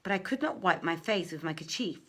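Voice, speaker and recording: A woman reading an old-fashioned tale aloud as a storyteller, expressively, with deliberate phrasing and dramatic pauses. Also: fairly low pitch, lively intonation, fairly bright tone.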